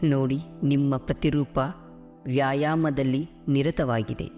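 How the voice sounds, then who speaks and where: A man leads a guided meditation over background music. His voice sits at a medium pitch. He speaks soothingly and deliberately, leaving space between phrases. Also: fairly even intonation, mellow. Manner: slow, soothing, deliberate pauses. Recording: music behind the voice. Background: clear background music.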